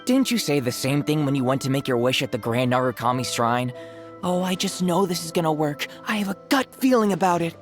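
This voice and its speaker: An actor playing a skeptical, blunt and exasperated friend in an anime game. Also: male voice, fairly high pitch, fairly quick, soft background music, close to an everyday voice. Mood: mocking.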